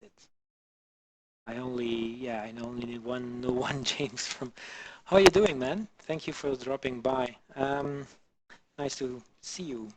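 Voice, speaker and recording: A man from Belgium chatting casually with his live-stream viewers on a headset mic while modelling, halting and full of fillers. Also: medium pitch, rough recording. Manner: halting, many fillers, answers chat viewers. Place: home in the Alpine region.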